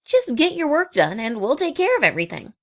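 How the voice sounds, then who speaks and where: A woman's voice: high-pitched, huge pitch swings, a little fast, fairly bright tone, exaggerated voice. A woman voicing a brash, confident young female pegasus pony from a cartoon, casual and cocky. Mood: breezy, confident.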